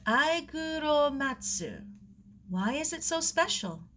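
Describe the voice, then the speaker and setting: A woman's voice: high-pitched, very animated, slow, a bit bright, pitched above the performer's own voice, heavily acted. Woman reader playing young storybook girl, earnest, polite, curious, raised voice, faint noisy backdrop. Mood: curious, puzzled.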